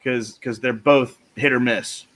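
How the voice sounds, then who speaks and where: A man with an average-pitched voice chats as a panelist on a sports livestream. His delivery is informal and a little halting, as if working out his picks aloud. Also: fairly even intonation, fairly bright tone. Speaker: panelist giving fantasy football advice. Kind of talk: casual livestream chat.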